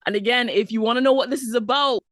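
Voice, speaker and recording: A woman hosting a podcast, upbeat and playful, talking quickly in a casual interview. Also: a little high, no room sound, studio quality. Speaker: podcast host.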